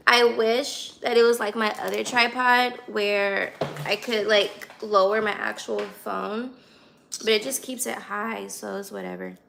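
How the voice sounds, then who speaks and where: A woman in her twenties with an American accent and a raspy, slightly hoarse voice, chatting casually to livestream viewers. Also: a little high, bright, forceful tone, slight background noise. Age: 20s. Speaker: livestream host.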